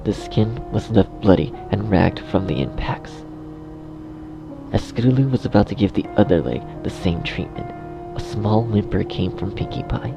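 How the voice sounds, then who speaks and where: A man reading scripted dark fiction as a narrator in a measured storytelling manner. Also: on the low side, expressive, gravelly, muffled recording, over music.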